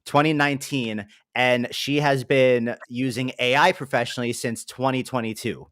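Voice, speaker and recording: A man's voice: medium pitch, steady tone, normal speed, fairly bright tone, dry recording. A young man hosting a casual livestream, upbeat and chatty, with lots of fillers. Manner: upbeat, greets chat, filler-heavy. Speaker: livestream host.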